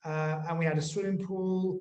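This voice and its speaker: A man's voice: on the high side, flat delivery, a little mellow. Man in his forties, echoey room. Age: 40s. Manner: halting, repeats words as he explains. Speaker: lawyer, interview guest. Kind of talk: informal explanatory interview talk. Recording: roomy, echoing sound.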